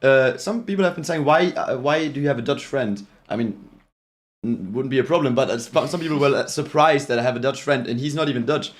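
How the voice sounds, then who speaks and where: A man's voice: medium pitch, fairly quick. Man, German accent, livestream host, casual reaction chat, thanks viewers, restarts a lot. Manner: chatty, reads out chat messages, many restarts.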